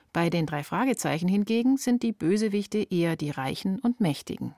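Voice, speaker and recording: A woman's voice: fairly low pitch, mellow, no echo, studio quality. A middle-aged woman reading scripted radio feature narration as the reporter.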